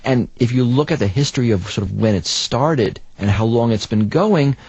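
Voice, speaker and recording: A man's voice: mid-range voice, normal speed, a little mellow, husky, no room sound. A man giving explanatory interview answers as an expert.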